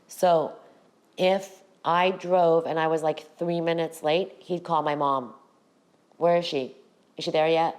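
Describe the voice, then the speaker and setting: A middle-aged woman with a raspy voice, hosting her own podcast and talking frankly to her viewers about her past. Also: deep voice, steady tone, takes it slowly, a little mellow. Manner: candid, direct address to viewers. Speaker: podcast host. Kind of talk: personal storytelling to camera.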